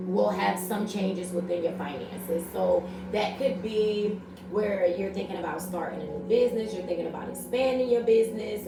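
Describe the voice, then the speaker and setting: A woman giving a casual daily tarot reading in a chatty, spiritual way, speaking straight to her viewers, her voice a little raspy and scratchy today. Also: lowish voice, distant mic. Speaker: tarot reader. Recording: very echoey room, voice off-mic, loud music behind.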